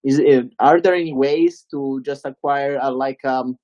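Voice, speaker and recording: A man's voice: mid-range voice, fairly even intonation, a little slow, dry recording, dull audio. A man asking a guest speaker questions in a webinar, hesitant and full of fillers. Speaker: audience member asking questions.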